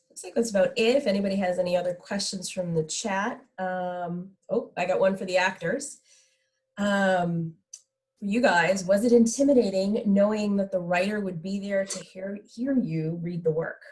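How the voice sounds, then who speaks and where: A woman's voice: medium pitch, steady tone, unhurried, a little mellow. A woman moderating a casual live question-and-answer session over a video call.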